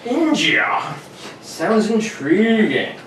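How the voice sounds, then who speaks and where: A genial, curious radio announcer played by a man chats in a high voice, prompting like an old-time show host. The sound is echoey and slightly distant. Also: wildly swooping pitch, extremely slow, fairly bright tone, full character voice. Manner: chatty, prompting. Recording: echoey room, distant mic, faint noise.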